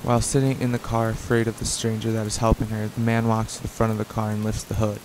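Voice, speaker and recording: A man's voice: fairly low pitch, completely flat delivery, fast talker. A man reading a scripted radio play as narrator in an amateur way, with noisy sound effects behind him.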